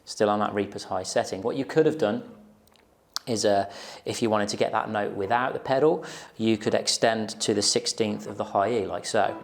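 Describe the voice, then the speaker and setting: A man's voice: fairly low pitch, fairly even intonation, normal speed, vocal fry. A man in his thirties giving an informal, explanatory guitar tutorial to camera, often checking in with the listener, recorded on a lapel mic in a small room. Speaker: guitar teacher. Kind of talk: instructional tutorial talk to camera. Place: small plain indoor room.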